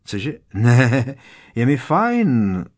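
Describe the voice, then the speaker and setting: Rattled teenage schoolboy, as a man puts him on, high babbling voice, husky, audiobook. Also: wildly swooping pitch. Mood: shaken babbling. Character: frightened teenage schoolboy, nervously chattering.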